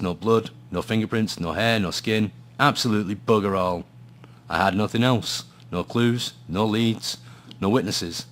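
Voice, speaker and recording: A man's voice: fairly low pitch, natural voice. A man with a Scottish accent playing a weary, dry private investigator in a noir radio drama, hesitant and understated. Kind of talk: noir radio drama, dialogue and brooding first-person narration.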